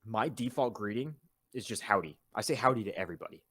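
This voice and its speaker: A young man with a mixed Southern and Northern US accent, co-hosting a podcast in casual chat, animated and laughing often. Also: on the low side, fast talker, breathy edge. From Jacksonville. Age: young adult. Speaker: podcast co-host.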